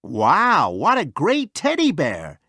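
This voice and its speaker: A man's voice: fairly high pitch, huge pitch swings, takes it slowly, background noise, voice pushed up, full character voice. A man voicing an enthusiastic, hearty grown man in a storybook, given to exclaiming. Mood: impressed, eager. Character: enthusiastic grown man, a delighted teddy-bear buyer.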